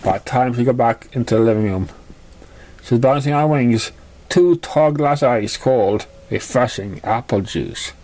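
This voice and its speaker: A man narrating fan fiction as an amateur reader, in a halting, stumbling way. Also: mid-range voice, a little mellow.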